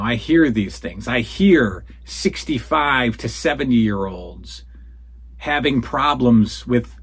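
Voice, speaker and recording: Husky rambling man in his forties. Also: mid-range voice. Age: 40s. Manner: rambling, animated, addresses listeners as folks. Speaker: podcast host answering listener questions. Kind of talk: casual solo commentary. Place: home den full of retro toys and posters.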